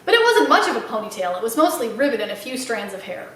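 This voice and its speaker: A woman reading a children's book aloud as an expressive storyteller. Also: fairly high pitch, fast talker, distant mic, slight background noise. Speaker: library read-aloud storyteller. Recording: very echoey room, away from mic.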